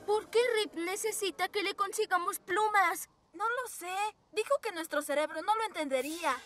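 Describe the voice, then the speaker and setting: A woman voicing a slow-witted young werewolf boy in a cartoon dub. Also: extremely high pitch. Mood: puzzled. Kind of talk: animated cartoon dub acting. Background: cartoon background music.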